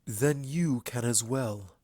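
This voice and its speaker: Regal storybook pony princess, ageless, eloquent, measured, played by a male narrator. Medium pitch, close dry sound. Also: warm tone, no room sound. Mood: earnest. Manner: formal, measured, oratorical. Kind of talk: fan fiction audiobook character acting.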